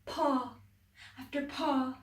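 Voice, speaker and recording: A woman teacher reading a children's story aloud, expressive, dropping to whispers and rising to loud exclaims. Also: high-pitched, extremely slow. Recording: echoey room, distant mic.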